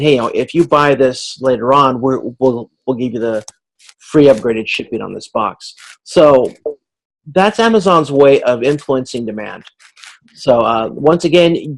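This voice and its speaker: A man speaks in a medium-pitched voice as a class instructor. His delivery is informal and explanatory, working through points in a halting, conversational way. He sounds like he is thinking aloud while he teaches. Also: poor audio. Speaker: instructor leading a class video conference.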